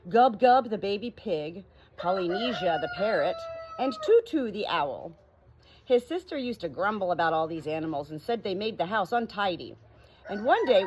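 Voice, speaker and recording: A woman with a medium-pitched voice tells stories in an informal, expressive way. Her intonation is lively and emphatic, made for children's books. Farmyard noise with crowing fowl is faintly audible behind her. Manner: animated, emphatic, drawing out key words. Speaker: audiobook reader. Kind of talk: expressive children's storybook narration with informal introduction. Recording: noisy farmyard ambience, roosters crowing. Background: farm animals, crowing roosters.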